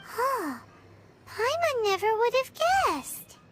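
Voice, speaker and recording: A woman voicing a tiny floating childlike female companion in a video game, chirpy and chatty, with a high squeaky voice. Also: big pitch swings, takes it slowly, fairly bright tone, full character voice. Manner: chirpy, refers to herself in the third person. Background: game music.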